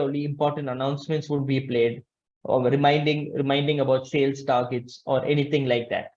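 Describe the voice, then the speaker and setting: A young man with a fairly high voice presents a webinar over a video call. He explains step by step, restarting and correcting his phrases as he goes. The audio is slightly dull and muffled. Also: darkish tone. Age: young adult. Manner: halting, repeats and corrects himself. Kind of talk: explanatory slide presentation with examples. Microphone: video call, webcam framing.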